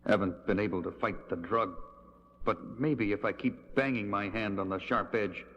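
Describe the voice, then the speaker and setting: An actor playing a stoic superhero in a 1970s audio drama, with a clipped, deliberate delivery. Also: male voice, lowish voice, slight background noise, close to an everyday voice. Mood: strained, determined. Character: stoic male superhero, society playboy in disguise. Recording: dull 1970s record, music behind.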